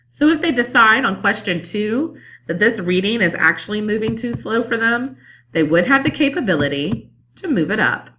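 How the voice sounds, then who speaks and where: A woman teacher narrating a calm instructional screencast about online testing. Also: mid-range voice, poor audio. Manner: calm, explanatory.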